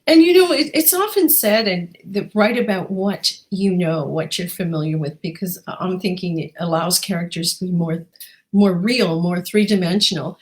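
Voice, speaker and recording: A woman's voice: fairly low pitch, moderate pace. A woman hosting a book show, introducing her guest and asking questions in a conversational interview style. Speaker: talk show host interviewing an author.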